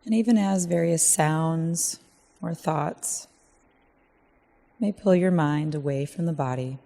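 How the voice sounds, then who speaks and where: A woman guides a meditation in a low-pitched, soft-toned voice. She is gentle and measured, leaving long pauses between phrases. Her timbre is dark and relaxed. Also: vocal fry.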